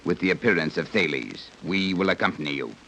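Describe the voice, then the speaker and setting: A deep-voiced, stern guide from a secret advanced society speaks in formal, exact phrases. The tone is bright and forceful, in 1930s radio-drama style, over a faintly noisy old recording. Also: male voice. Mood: formal, matter-of-fact. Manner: precise, formal, measured explaining. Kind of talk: 1930s radio serial acting.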